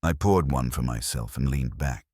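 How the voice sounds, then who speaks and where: A man reading a first-person detective story as scripted narration, at an even, unhurried pace. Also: extremely low pitch, dry recording, studio quality.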